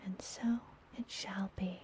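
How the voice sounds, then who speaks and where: A woman playing a friendly, mysterious, teasing witch healer in an ASMR fantasy roleplay, speaking gently and soothingly in a soft, breathy whisper. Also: mid-range voice, fairly even intonation, a little hiss or hum, natural voice. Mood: solemn, soothing. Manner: slow, gentle, soothing, deliberate.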